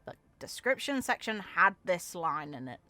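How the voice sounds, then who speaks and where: A woman's voice: fairly low pitch, very animated, moderate pace, sharp tone, no echo, soft background music. A woman giving casual reaction commentary on a game, musing aloud with short emphatic asides. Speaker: content creator reacting to game voicelines.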